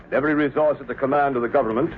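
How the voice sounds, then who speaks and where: An actor playing a grave navy admiral in a 1930s radio drama, making statements in a stiff, official, declamatory manner. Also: male voice, mid-range voice, fairly even intonation, rapid-fire, lightly acted. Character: formal, grave navy admiral addressing the press. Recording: narrow-band 1938 radio recording, faint noise.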